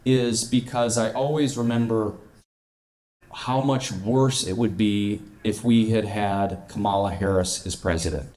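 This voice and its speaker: A man speaking at a public talk in a slow, deliberate way. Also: fairly low pitch, darkish tone.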